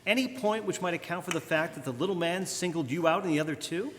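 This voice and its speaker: A man playing an earnest, authoritative amateur detective in old-time radio drama style, deliberate and firm. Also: fairly high pitch, fairly bright tone, a little hiss or hum, lightly acted. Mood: probing, composed. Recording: live stage, reverberant church hall.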